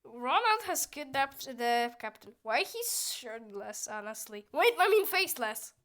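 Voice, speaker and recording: High, youthful-voiced woman gaming. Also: big pitch swings, unhurried, a bit bright. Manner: chatty and goofy, with squealing outbursts. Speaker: gameplay streamer. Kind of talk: casual gameplay commentary, reading game dialogue aloud.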